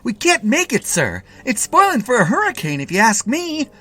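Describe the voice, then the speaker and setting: A man voicing a panicky, excitable young navy lieutenant in a story reading, strained and yelling. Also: normal speed, faint music behind, heavily acted. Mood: panicked. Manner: yelling, strained, high-strung.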